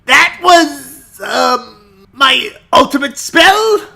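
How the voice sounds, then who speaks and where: A woman's voice: sits high, expressive, very slow, vocal fry, sharp tone, heavily acted. A woman voicing a scheming, book-thieving scholar who is hammy, indignant and easily flustered, in a comedic fan dub. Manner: theatrical, blustering, easily flustered. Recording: music behind the voice.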